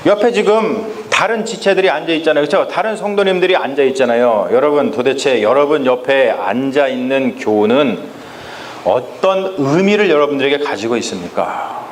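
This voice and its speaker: A male pastor preaching a Sunday sermon in a church, speaking emphatically and often turning to address the congregation directly, slowing down to stress key phrases. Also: mid-range voice, expressive, a little hiss or hum. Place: church sanctuary. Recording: echoey church with faint ambience.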